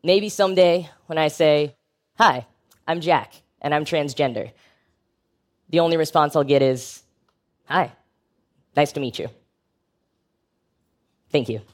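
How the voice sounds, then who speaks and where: A man's voice: on the high side, takes it slowly, long pauses. An American man delivering a prepared live talk in a conversational, wry and emphatic way, as a public speaker with a humorous, educational bent.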